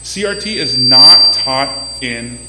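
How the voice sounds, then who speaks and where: Loud, emphatic man in an echoey hall. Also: medium pitch, lively intonation, takes it slowly. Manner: loud, emphatic, repeats words when starting sentences. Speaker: city council candidate answering audience questions. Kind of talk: public Q&A at a community meeting. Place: banquet room at a community meeting. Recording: echoey hall with faint hum.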